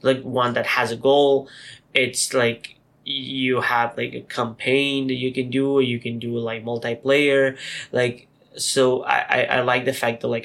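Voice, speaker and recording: A man with a gravelly, bright edge to his voice talks loosely on a podcast. His speech is full of fillers and restarts, and he teases his co-host playfully. The tone is relaxed conversation. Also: medium pitch. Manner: rambling, filler-heavy, playful teasing. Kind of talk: casual chat.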